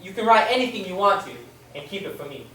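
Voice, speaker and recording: A woman playing a calm, polite policeman who questions a witness in a radio play, with probing, hesitant phrasing. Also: medium pitch, fast, close to an everyday voice. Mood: reassuring, instructing. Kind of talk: amateur old-time radio play acting. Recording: echoey, distant, with hiss.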